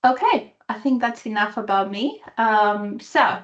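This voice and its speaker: A woman's voice: on the high side, animated, a little slow, a bit bright, highs cut off. A woman with a Greek-Italian accent, presenting at a virtual user group in an enthusiastic, chatty way with many fillers, over a video call. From Greece and Italy. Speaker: guest speaker.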